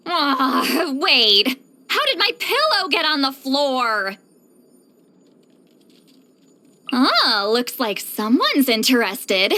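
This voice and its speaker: A youthful-sounding shaman master from an anime-style game. Her voice is high and bright, with lively swings in pitch. She carries herself with haughty poise, yet turns awkward and defensive when flustered. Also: slow, full character voice. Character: proud, awkward, easily flustered shaman master, young-sounding old-timer.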